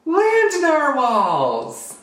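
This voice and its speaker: An earnest, wide-eyed young narwhal from a storybook, played by a storyteller. The voice leaps up high and swoops with childlike exclamation. It sounds roomy and a little far from the mic. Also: huge pitch swings, very slow, a bit bright, full character voice. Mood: amazed. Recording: roomy echo, distant microphone.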